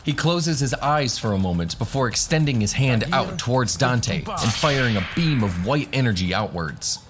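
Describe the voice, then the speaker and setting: A man's voice: medium pitch, lively intonation, moderate pace, over music. A man narrating a scripted fantasy adventure as the game master of a radio drama.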